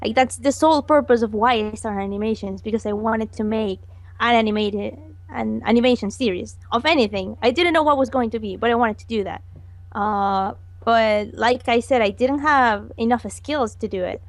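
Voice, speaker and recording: A woman's voice: a little high, moderate pace, no room sound. A woman animator answering interview questions, hesitant and giggly, with many fillers. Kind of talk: casual online interview.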